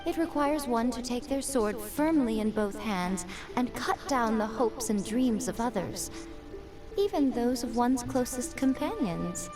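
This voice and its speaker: Elegant, lofty, high-voiced shrine lady. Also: expressive, over music, low-quality recording. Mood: solemn. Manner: measured, lofty, faintly amused. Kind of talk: video game voice acting.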